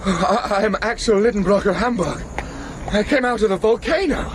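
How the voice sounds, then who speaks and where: An actor playing an excitable, overwrought young German man in a radio drama. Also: high voice, moderate pace, background noise, natural voice. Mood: dazed.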